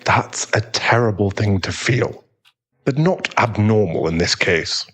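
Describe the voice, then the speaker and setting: A man playing a calm, tactful therapist in an audio roleplay, speaking in a measured, formal way. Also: lowish voice. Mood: calm, reassuring.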